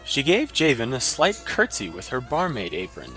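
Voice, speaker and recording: A man narrating a fantasy short story in an even, descriptive manner. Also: mid-range voice, moderate pace, faint music behind.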